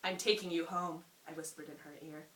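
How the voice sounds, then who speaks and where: A young woman reading a story aloud to a friend in an amused, expressive way, often breaking off for asides. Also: lowish voice, fairly quick. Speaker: reader. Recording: roomy, distant mic with faint noise.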